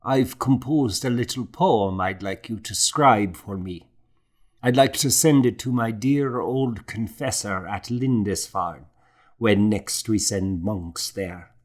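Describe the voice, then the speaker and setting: A man playing a gentle old monk and scribe in an audiobook, fond, contemplative and lilting as he savors his words. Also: on the low side, slow pace. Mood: gently eager. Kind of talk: audiobook character acting.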